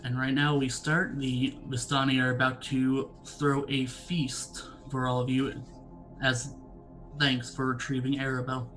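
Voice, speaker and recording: A man running a tabletop game as dungeon master, narrating in a measured, even storytelling voice from a home setup. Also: medium pitch, soft background music, low-quality recording.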